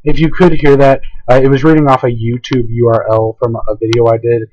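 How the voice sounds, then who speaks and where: A hoarse man casually talking through a software tutorial, rambling and hesitant with lots of fillers. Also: medium pitch, flat delivery, brisk, no echo, highs cut off. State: hoarse, voice going out. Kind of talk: casual screen-recorded tutorial.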